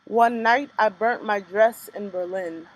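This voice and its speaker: A young woman performing her own spoken word poems in a rhythmic, measured delivery, with casual chatty asides between pieces. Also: medium pitch, low-quality recording. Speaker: poet performing her work. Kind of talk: spoken word poetry reading.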